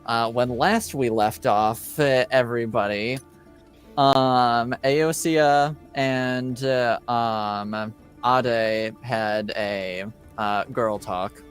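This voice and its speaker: Man, game master recap, slow hesitant delivery, frequent drawn-out pauses, clear smooth voice. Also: medium pitch, fairly even intonation, faint music behind. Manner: halting, hesitant delivery with long filled pauses. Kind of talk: recapping a tabletop roleplaying session.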